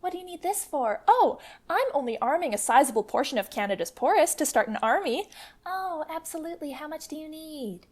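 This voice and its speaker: A high, very animated female voice. A woman acts out a fiery revolutionary leader in a radio play, dripping with indignant sarcasm as her pitch swoops. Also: brisk. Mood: sarcastic, angry. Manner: mocking, indignant, swearing.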